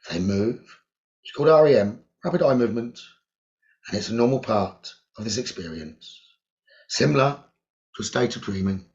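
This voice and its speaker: A man leading a guided meditation, speaking slowly and soothingly, repeating his gentle instructions with long pauses. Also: mid-range voice, animated. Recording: dull lo-fi recording.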